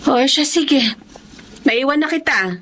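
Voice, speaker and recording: A woman's voice: high voice, expressive, slow, fairly bright tone, full character voice. A woman acting a caring, concerned middle-aged mother in a radio drama.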